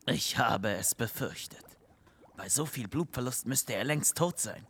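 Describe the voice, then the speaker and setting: Man playing a bright-voiced, sensible police detective. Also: medium pitch, lively intonation, a little slow, a little hiss or hum. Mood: grave. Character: sensible, matter-of-fact male police detective, the straight partner. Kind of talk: comedic audio drama acting.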